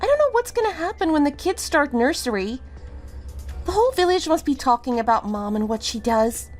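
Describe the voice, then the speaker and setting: A woman playing a high-voiced, exasperated daughter. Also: very animated, a little fast, soft background music. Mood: exasperated, tired. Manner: pleading, exasperated. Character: weary, embarrassed young mother and worried daughter. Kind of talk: dramatic dialogue.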